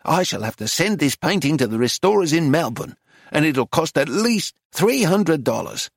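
A woman's voice: low voice, very animated, normal speed, slightly rough, no echo, clean studio sound, pitched above the performer's own voice, full character voice. A man voicing a bossy, anxious woman art dealer who scolds and presses with demanding questions in a story reading. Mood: angry, dismayed.

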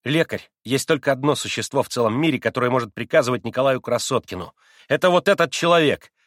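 A man's voice: medium pitch, animated, fast, a bit bright, no echo, studio quality, pitched above the performer's own voice, natural voice. A man voicing a proud, hot-headed teenage boy who talks brashly and emphatically.

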